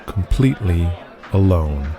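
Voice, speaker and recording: A man with a low voice narrates an audio drama in a scripted, dramatic style. Loud music runs underneath his narration. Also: expressive, unhurried, a crowd in the background.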